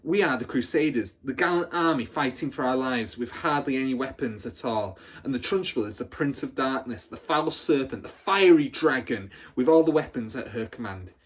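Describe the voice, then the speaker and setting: A man's voice: mid-range voice, moderate pace, hardly any pauses, husky, natural voice. A man voicing a boastful, battle-hardened older schoolgirl in a storybook, telling her exploits with swaggering drama. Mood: defiant, grandiose.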